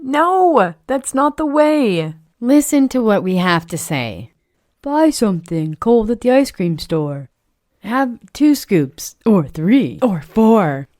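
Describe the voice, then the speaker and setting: A woman's voice: a little high, big pitch swings, slow pace, darkish tone, no room sound, clean studio sound, pitched above the performer's own voice. A woman voicing kind, eager little children in a storybook, with an exclaiming, sing-song delivery. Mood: concerned, urging. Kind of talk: animated storybook read-aloud.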